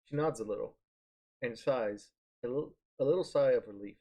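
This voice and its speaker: A man reading visual novel narration aloud in a live stream, halting and correcting himself as he goes. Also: medium pitch, mellow. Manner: halting, stumbles and corrects words. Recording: music behind the voice.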